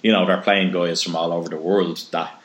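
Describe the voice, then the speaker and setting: Deep-voiced man, echoey room. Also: level tone, brisk. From Ireland. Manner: chatty, reads news aloud. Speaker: podcast host. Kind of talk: casual podcast interview.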